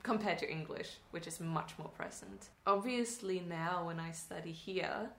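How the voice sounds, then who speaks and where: A young woman with a Swedish accent, Swedish her first language, speaks in a lowish voice. She talks through her thoughts with restarts and little laughing asides. The room around her is echoey. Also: a little slow. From Sweden. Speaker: acting student. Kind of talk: casual interview chat.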